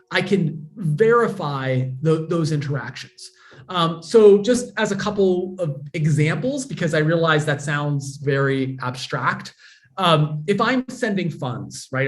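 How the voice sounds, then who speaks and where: A man, a guest on a remote interview, patiently explaining technology with everyday examples. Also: fairly high pitch. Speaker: guest, blockchain educator. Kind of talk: casual explanatory interview talk.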